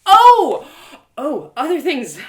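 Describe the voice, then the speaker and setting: A woman playing an awkward, excitable young woman who blurts and stammers slangily in an audio drama. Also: high-pitched, slow, voice pushed up, heavily acted. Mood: flustered embarrassment. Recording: roomy echo of home live reading.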